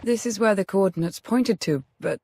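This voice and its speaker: A man's voice: sits high, normal speed, creaky, warm tone. A man playing a dutiful, determined military adviser in a sci-fi video game, serious and businesslike. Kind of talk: dramatic video game acting.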